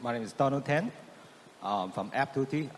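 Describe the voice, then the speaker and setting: A man's voice: mid-range voice, lively intonation, normal speed, slight background noise. A man giving a brief panel introduction in non-native English. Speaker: panelist, company CEO. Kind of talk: conference panel talk. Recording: long reverb of a conference hall.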